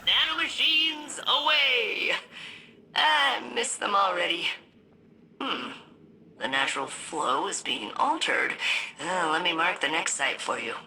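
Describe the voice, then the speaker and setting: A woman's voice: on the low side, lively intonation, slow, sharp tone, a little hiss or hum, full character voice, low-quality recording. A woman playing a wry female starship AI in a video game, talking informally. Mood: playful. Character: wry, casual female artificial intelligence of a starship. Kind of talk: scripted game dialogue.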